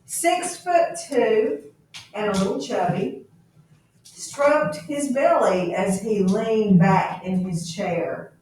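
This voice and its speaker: A woman's voice: mid-range voice, takes it slowly, darkish tone, slight background noise. A woman teacher reads aloud to a class and checks understanding with questions. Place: echoey indoor room. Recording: very echoey room, distant mic.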